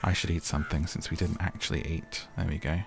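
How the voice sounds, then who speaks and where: A man's voice: rumbling low, steady tone, fast talker, vocal fry, music in the background. A man giving casual gameplay commentary, chatty and musing aloud. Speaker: gaming YouTuber.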